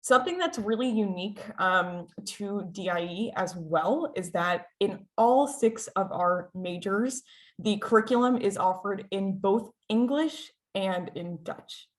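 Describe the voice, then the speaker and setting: A woman's voice: mid-range voice, lively intonation, unhurried. An American woman presenting her school on a video-call webinar, upbeat and chatty, with frequent filler sounds and restarts. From United States.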